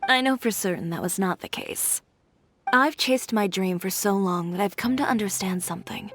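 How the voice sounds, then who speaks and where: A woman's voice: medium pitch, normal speed, natural voice. A woman voicing a proud, blunt, confident young fashion designer in an English game dub, cool and self-assured. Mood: sincere, resolute. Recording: music behind the voice.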